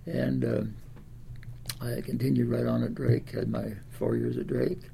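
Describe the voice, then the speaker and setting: Gravelly ninety-year-old Midwestern American man. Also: mid-range voice, little pitch movement, warm tone, slight background noise. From Des Moines, Iowa, United States. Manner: rambling, halting recollection with repeated words. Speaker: interviewee, World War Two veteran. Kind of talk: oral history reminiscence.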